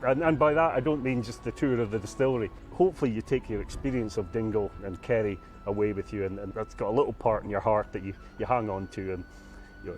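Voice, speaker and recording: A man's voice: on the low side, darkish tone. An Irish man chats in an easygoing way outdoors, with traffic in the background. Faint music sits under his voice. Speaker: master distiller. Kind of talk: outdoor interview.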